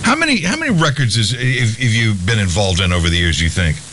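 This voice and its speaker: Man with a lively, swooping voice. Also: fairly low pitch, a little hiss or hum. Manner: lively, halting with restarts. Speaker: interview host. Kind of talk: interview questions.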